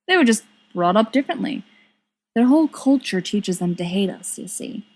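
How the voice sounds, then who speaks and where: A woman's voice: mid-range voice, expressive, moderate pace, a little mellow, lightly acted. A woman voicing a young male wizard extremist in an audiobook, earnest and persuasive with a bitter edge. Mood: thoughtful, persuading.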